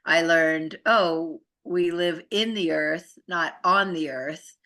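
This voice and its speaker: Low-pitched woman with a bright voice. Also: steady tone, takes it slowly. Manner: informal, earnest, rambling with frequent tag questions. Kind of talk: casual conversational explanation.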